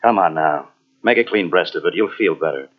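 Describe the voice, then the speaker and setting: An actor playing a firm, steady police lieutenant in an old-style radio mystery, with a plain, authoritative delivery. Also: male voice, sits low, fairly quick, husky. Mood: calm, firm. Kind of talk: 1940s radio mystery acting. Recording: dull lo-fi old radio tape.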